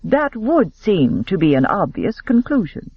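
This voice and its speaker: A man playing a gruff, stern spinster chaperone who speaks in a measured, commanding way. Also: sits high, very animated, no room sound, lo-fi sound, heavily acted.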